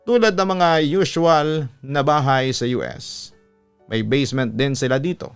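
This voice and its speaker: A man with a Filipino accent narrating a scripted story like a radio announcer, with measured, deliberate, dramatic phrasing. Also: medium pitch, gravelly, smooth. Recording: music bed under the voice. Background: background music.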